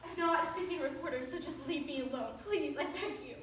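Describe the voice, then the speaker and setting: A woman's voice: sits high, brisk, fairly bright tone. A young woman on stage playing a grieving, embattled mother of a disgraced teenage son. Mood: pleading. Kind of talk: high school readers theater performance. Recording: very echoey room, distant mic, faint noise.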